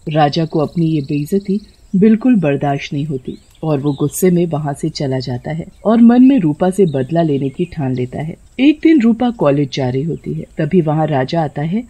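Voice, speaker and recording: Husky woman narrating a horror story. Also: deep voice, lively intonation, moderate pace, few pauses, mellow.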